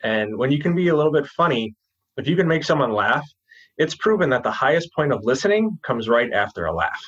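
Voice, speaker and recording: A man with a New Jersey accent, a copywriter speaking as a guest in a casual interview, relaxed and chatty, dropping his g's. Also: medium pitch, a little fast, breathy edge, lo-fi sound.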